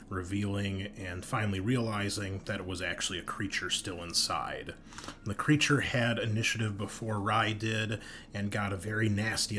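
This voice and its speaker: A man with a low voice recaps a tabletop game session as its host. He explains events step by step in a measured, matter-of-fact way, without a script. There is faint noise in the recording. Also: a bit bright.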